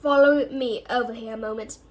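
A woman acts out a gruff gamekeeper, a blunt storybook man with a protective streak. He growls his rustic phrases loudly and firmly. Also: on the high side, fairly even intonation, brisk, close to an everyday voice. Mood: grave warning. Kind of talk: storybook character reading.

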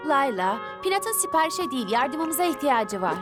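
Kind, busy girl heroine for children's animation; high voice, earnest upbeat delivery, music underneath. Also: sing-song, normal speed. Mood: upbeat, determined. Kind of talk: children's cartoon dub acting.

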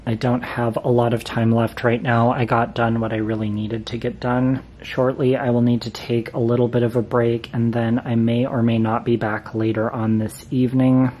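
A man's voice: mid-range voice, dark tone, a little hiss or hum. A man giving casual, matter-of-fact updates in a vlog about his collection. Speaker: vlogger showing a fan collection. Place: basement display room with exposed joists.

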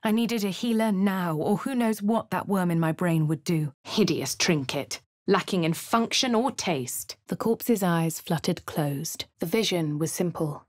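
Posh english lady narrating game lines, husky lowish voice, dead dry studio sound. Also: no echo. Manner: posh, measured. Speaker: player character voice. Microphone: studio mic.

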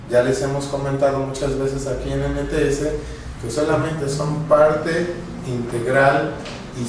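A man's voice: mid-range voice, a little mellow, far from the mic, a little hiss or hum. Earnest man in an echoey office. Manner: earnest, advising viewers directly. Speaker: clinic director giving nutrition tips. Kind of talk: direct-to-camera advice capsule. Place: bright office, seated at a desk. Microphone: camera at a distance. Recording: very echoey room, mic from afar.

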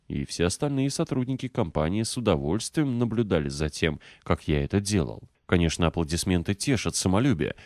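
A man with a very low voice reads a non-fiction business audiobook. His intonation moves widely and expressively, while the delivery stays measured and clearly articulated. The sound is dry and close, free of room reflections. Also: a little mellow, dry recording. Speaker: audiobook narrator. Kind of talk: scripted audiobook narration of a business book.

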